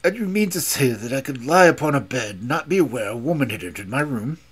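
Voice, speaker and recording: A narrator voicing a scornful, agitated old male professor in an audiobook, loud, blustering and emphatic. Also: medium pitch, lively intonation, brisk, slightly rough. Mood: scornful, mocking.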